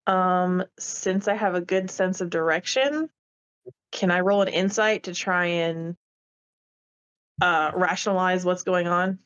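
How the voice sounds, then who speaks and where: A woman's voice: lowish voice, steady tone, unhurried, fairly bright tone, clean tone. A woman chatting casually as a player in a tabletop roleplaying game, informally thinking aloud, on slightly lo-fi audio.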